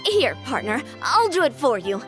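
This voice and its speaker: A woman's voice: high-pitched, sing-song, normal speed, faint music behind. A woman playing a spirited, teasing young woman with a casual manner in a video game. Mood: curious, dismayed.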